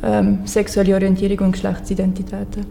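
A woman in her twenties introducing herself on a panel, hesitant and filling pauses with ums. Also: medium pitch, completely flat delivery, creaky, mellow, slight background noise. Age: 20s. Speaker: guest, psychology student.

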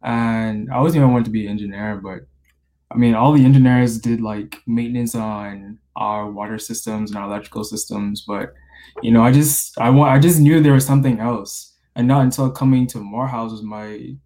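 A college senior in his twenties from the Bahamas, hosting a podcast interview in a relaxed way with lots of fillers. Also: medium pitch, a little fast, poor audio. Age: 20s. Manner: relaxed, many fillers like you know and like.

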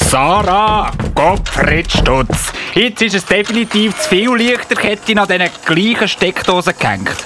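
A man's voice: high voice, animated, unhurried, background noise. A man playing a fussy family father with a Swiss German accent in a Christmas radio play. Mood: annoyed scolding. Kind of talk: amateur radio play dialogue.

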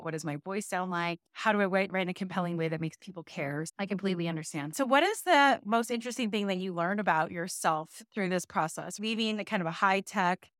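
A woman's voice: fairly low pitch, fairly bright tone, smooth, no room sound, studio quality. A woman hosting an interview, friendly and affirming, who asks open questions. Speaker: podcast host.

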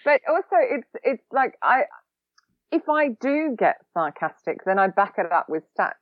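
A woman with an Australian accent, a podcast guest talking casually, quick-witted and a bit sarcastic. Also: fairly high pitch, big pitch swings, no room sound, highs cut off. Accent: Australian, long lived in London. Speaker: podcast guest, social recruiting trainer.

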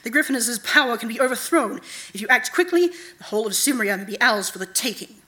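A woman voicing a cold, manipulative female night god in a deliberate, commanding manner, as in a fantasy audiobook. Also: a little high, lively intonation, pushed and bright.

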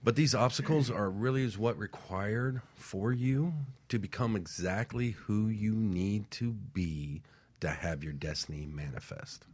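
A man's voice: on the low side, measured pace, a little mellow, husky. A male co-host delivering an emphatic motivational speech with a preaching cadence.

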